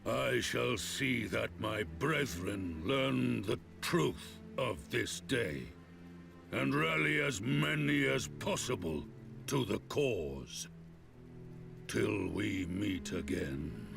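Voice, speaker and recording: A proud beastman warrior chief from a fantasy game, deep in gravel and creak. He declaims very slowly and gravely, with a pitch that swings widely from word to word. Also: expressive, music in the background, full character voice. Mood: resolute. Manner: slow, stately, formal declamation. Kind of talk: video game voice acting.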